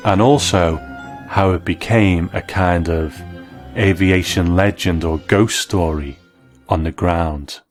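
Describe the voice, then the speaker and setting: A man hosting a scripted video essay, reviewing in an enthusiastic, explanatory manner. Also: sits low. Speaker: host and reviewer.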